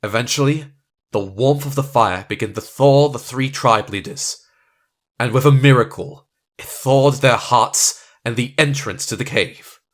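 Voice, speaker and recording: A man acting as a gentle storybook narrator, reading scripted narration for an audio drama. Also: mid-range voice, measured pace, natural voice. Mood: gentle, storytelling.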